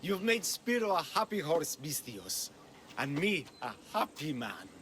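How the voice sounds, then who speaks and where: A man's voice: fairly high pitch, very animated, unhurried, a bit bright, a little hiss or hum. A man playing a gleeful, eccentric Greek character in a video game, exuberant in manner. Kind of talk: dramatic game cutscene dialogue.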